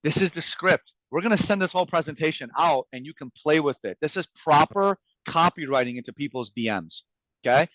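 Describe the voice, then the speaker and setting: A man whose first language is Farsi pitches sales tips with punchy emphasis, playing out sample messages as he goes. His voice sits fairly high for a man. The sound is dry and a little muffled, as over a video call. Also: brisk, no echo. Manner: energetic, emphatic, acts out quoted messages. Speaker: guest speaker. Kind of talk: motivational sales presentation.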